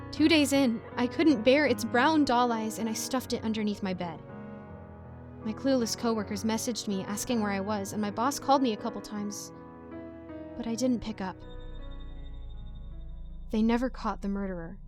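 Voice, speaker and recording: Higher-voiced woman narrating horror stories. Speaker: story narrator. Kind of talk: scripted dramatic horror story narration.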